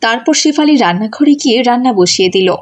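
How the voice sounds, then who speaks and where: A woman with a high-pitched voice tells a cartoon story. She works as the narrator, reading from a script. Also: animated, fast talker.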